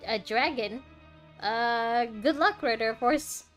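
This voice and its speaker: A woman's voice: fairly high pitch, slow pace, fairly bright tone. A woman streaming a video game, giving casual, reactive commentary as she muses informally on what she plays. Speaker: gaming streamer. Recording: game music behind the voice.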